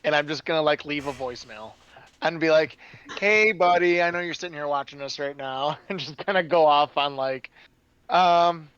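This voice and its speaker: A man's voice: a little high, moderate pace, fairly bright tone, clean tone, no room sound. A man playing a tabletop role-playing game, teasing and joking with the table. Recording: dull lo-fi sound.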